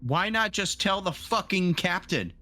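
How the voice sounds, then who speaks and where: A man's voice: high-pitched, expressive, unhurried, pushed and bright, voice pushed up, full character voice. A man playing a suspicious female marine sergeant in a tabletop game, asking pointed questions.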